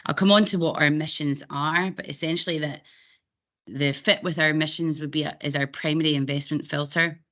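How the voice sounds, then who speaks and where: A woman with a croaky, raspy voice from a cold, giving a webinar presentation with many ums and restarts. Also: low voice, steady tone, brisk, a bit bright. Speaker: bank presenter. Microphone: video call. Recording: dull lo-fi call audio.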